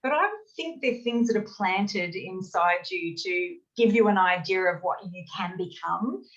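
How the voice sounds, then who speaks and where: A woman's voice: mid-range voice, lively intonation, unhurried. A woman hosting an interview show, chatty and encouraging with her guest. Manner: warm encouraging backchannels, chatty. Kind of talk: conversational interview. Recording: slightly dull lo-fi sound.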